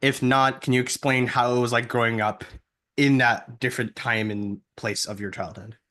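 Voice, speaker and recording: A man joining an interview late, asking questions in a casual, halting way. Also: medium pitch, fairly even intonation, fairly bright tone.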